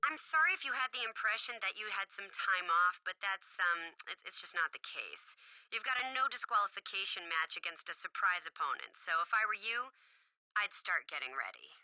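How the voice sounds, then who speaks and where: A menacing female authority figure from a wrestling video game. She taunts with smug mock sympathy in a very sharp, forceful voice of middling pitch. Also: expressive, normal speed, poor audio.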